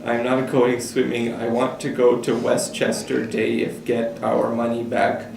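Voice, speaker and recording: A man reading a child's letter aloud in a halting way, stumbling and correcting himself. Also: medium pitch, completely flat delivery, vocal fry. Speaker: staff member reading aloud. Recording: distant roomy mic with guitar music. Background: guitar music.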